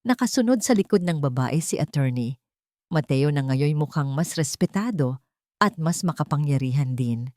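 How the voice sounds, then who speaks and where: A Filipino woman narrates a dramatic story in a fairly low voice. The recording is dry and close, with very little room around it. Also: animated, normal speed, darkish tone, no room sound.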